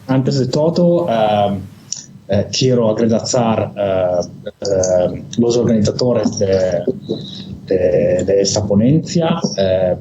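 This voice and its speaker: A man lecturing in a language that is not his native one, pausing often on fillers and restarts over a video call. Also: fairly low pitch. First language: not Spanish. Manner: hesitant, many fillers and restarts. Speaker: guest speaker. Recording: echoey, muffled, noisy video call line.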